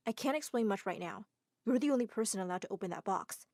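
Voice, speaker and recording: A woman voicing a calm young man who speaks quietly and evenly. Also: medium pitch, fast talker, vocal fry, no echo, clean studio sound. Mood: hushed. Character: calm young man hiding under a desk.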